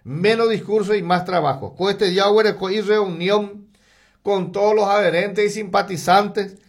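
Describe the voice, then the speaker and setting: Gravelly Paraguayan radio announcer, high-pitched man. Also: steady tone. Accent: Paraguayan Spanish, mixing in Guarani. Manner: rapid, sing-song pitch and loudness swings, listing items. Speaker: radio announcer reading local ads. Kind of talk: live radio ad reading.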